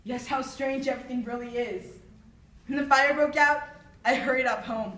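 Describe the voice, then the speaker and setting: A young woman performing a theatrical monologue as an anguished, philosophizing army officer and father, declaimed with dramatic pauses. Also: high-pitched, fairly bright tone, a little hiss or hum. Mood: agitated, anguished. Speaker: student actor. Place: echoey room, far from the microphone. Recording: roomy echo, distant microphone.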